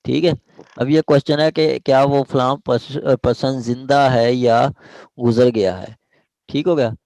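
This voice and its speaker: A man's voice: mid-range voice, steady tone, measured pace, vocal fry, darkish tone, dry recording. A man with a Pakistani accent giving an explanatory law lecture, reading out provisions and restating them step by step with frequent check-in questions. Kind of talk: explanatory lecture, reading statute aloud and paraphrasing.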